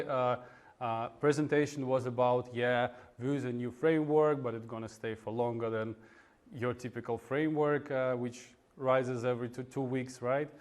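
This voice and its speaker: A man from Russia with a Russian accent giving an informal technical talk at a meetup, in a halting, hesitant way with frequent pauses. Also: mid-range voice, darkish tone. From Russia (Moscow). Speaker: conference speaker, web developer. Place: indoor meetup room in front of an audience.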